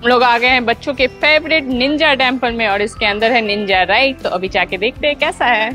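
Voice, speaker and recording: A woman in her thirties giving a chatty travel vlog tour with a lapel mic, pausing with "uh" as she explains things to viewers. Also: on the high side, sharp tone, soft background music. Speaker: travel vlogger. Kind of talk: casual travel vlog narration. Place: outdoor theme park. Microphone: clip-on lapel mic.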